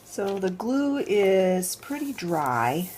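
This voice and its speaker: Slow-talking congested woman. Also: mid-range voice, very animated, a little mellow, slight background noise. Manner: thinks aloud step by step, unhurried. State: congested nose. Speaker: crafting channel host. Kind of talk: casual DIY tutorial. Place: home craft table.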